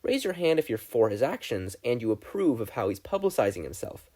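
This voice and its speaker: A man voicing a tired, calm male teacher with a lazy drawl in a story reading. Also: mid-range voice, a little fast. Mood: weary, calm.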